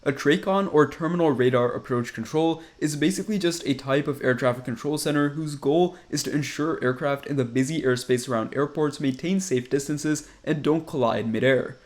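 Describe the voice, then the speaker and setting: A young man giving a scripted, measured narration for an aviation explainer. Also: on the high side. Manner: measured, informative delivery.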